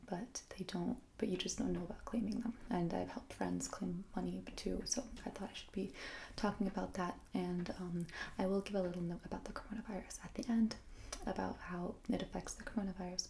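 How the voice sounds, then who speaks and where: A young woman explaining a topic in a soft, mostly whispered ASMR style, rambling with fillers and self-corrections. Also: on the low side, creaky, a little hiss or hum. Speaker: vlogger.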